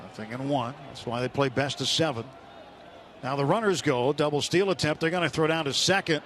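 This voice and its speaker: A man's voice: fairly high pitch, a little fast, a bit bright, slight background noise. A man calling a baseball game live on the radio as the play-by-play announcer. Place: stadium broadcast booth.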